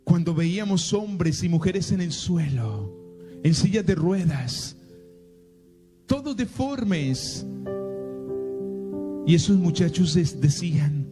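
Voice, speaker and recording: Male Colombian priest, paisa accent, slightly high-pitched, dramatic storytelling sermon, very slow delivery. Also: big pitch swings, music in the background. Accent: Colombian paisa (Medellín).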